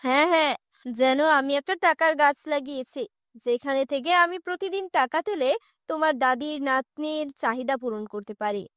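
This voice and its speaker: A woman plays a hard-up, weary mother in a cartoon fairy tale. She is bitter and scolding, with a higher-pitched voice in a dry recording. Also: normal speed, fairly bright tone, no echo. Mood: bitter, angry.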